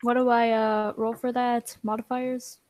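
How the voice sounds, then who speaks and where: A woman's voice: on the high side, level tone, unhurried, a little mellow. A young woman playing a tabletop game, talking bluntly and swearing casually. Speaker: player. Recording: noisy mic with clicks.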